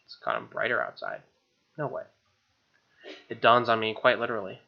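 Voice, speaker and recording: A man's voice: fairly low pitch, wildly swooping pitch, moderate pace, creaky. A young man reading visual novel narration aloud as a let's player, informal and dropping casual asides. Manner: informal, with gamer slang. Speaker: video game let's player. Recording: slightly dull sound, music behind. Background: soft game music.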